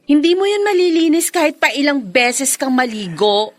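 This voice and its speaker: High-voiced, suspicious, jealous partner. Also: female voice, very animated, moderate pace, no room sound. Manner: accusatory. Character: suspicious, jealous female partner, needling and accusing. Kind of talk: Filipino radio drama acting.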